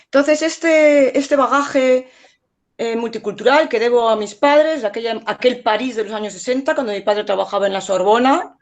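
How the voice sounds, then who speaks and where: Reflective woman speaker with a gravelly voice. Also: fairly high pitch, normal speed. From Spain and France. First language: French. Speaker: conference speaker, artist and art lecturer. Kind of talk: conference talk.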